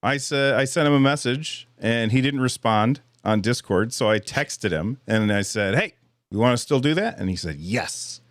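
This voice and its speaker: A man hosting a live podcast in casual chat, a little hoarse, rambling and self-deprecating with frequent restarts. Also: mid-range voice, expressive, moderate pace, dry recording, studio quality. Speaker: podcast host.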